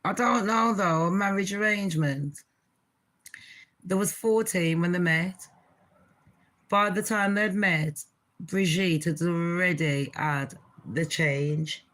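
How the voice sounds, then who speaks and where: Brief: woman host, deep voice, slow and blunt, swears freely, casual reaction chat. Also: fairly bright tone. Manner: blunt, swearing, chatty with laughs. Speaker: channel host.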